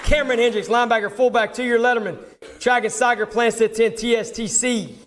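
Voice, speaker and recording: A high-pitched male voice announces honorees at a live award ceremony. He works through a roster informally, in the manner of a coach presenting his team. A crowd and clear background noise sit around the voice. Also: fairly even intonation, fairly quick, a bit bright. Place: public award ceremony with audience.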